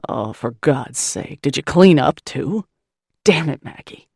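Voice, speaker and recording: A surly, worn-out man growls and barks in a scratchy, hoarse voice, as a woman narrator puts him on. The voice sits very low with gravelly fry and some huskiness. The recording is dry and close. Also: animated, measured pace, a little mellow, no echo, heavily acted. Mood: angry. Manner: barking, growling retorts. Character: gruff exhausted man, surly and snarling. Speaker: audiobook narrator.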